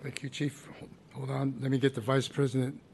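Male chairperson, gravelly and flat, brisk. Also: medium pitch, slight background noise. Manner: brisk, procedural. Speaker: commission president chairing. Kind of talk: chairing a public meeting.

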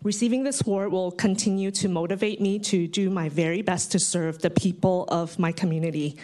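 A social worker whose first language is Cantonese, speaking into a microphone at a commission meeting and giving a grateful, heartfelt award acceptance speech. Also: female voice, on the low side, steady tone, a little fast, mellow.

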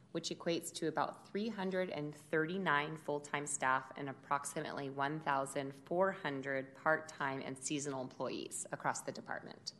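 A woman who directs a city department, giving a formal budget presentation at a public meeting in a loud, steady, measured voice. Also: deep voice. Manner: measured, informative delivery with occasional fillers.

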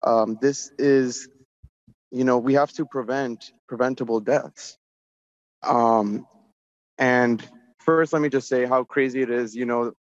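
A man giving informal public comment over a remote call from outdoors, speaking in an earnest, insistent way. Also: medium pitch. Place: outdoors near a highway. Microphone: remote call into meeting. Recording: dull, roomy remote-call audio.

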